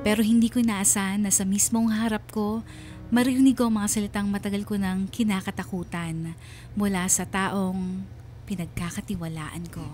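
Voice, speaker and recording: A woman's voice: mid-range voice, fairly even intonation, moderate pace, a little mellow, lightly acted. A woman playing a wounded letter writer, narrating her painful story reflectively in a radio drama. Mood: sorrowful, reflective. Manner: reflective, sorrowful. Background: background music.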